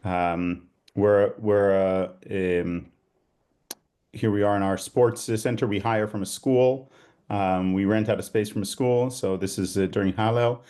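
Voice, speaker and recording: A rabbi from Israel with a mostly American-sounding Israeli accent, giving an informal talk over a video call, pausing often with "um" and "uh". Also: male voice, on the low side, steady tone, mellow, clear, resonant tone. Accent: Israeli, with an American tinge. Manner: hesitant, with many fillers and restarts. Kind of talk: informal talk to a small group.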